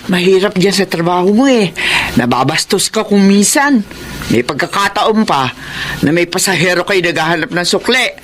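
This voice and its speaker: A woman's voice: fairly low pitch, lively intonation, normal speed, slight background noise, natural voice. A woman with a Filipino accent playing a concerned, sympathetic mother in a TV drama. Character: concerned, sympathetic mother of working adult children. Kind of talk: TV drama acting.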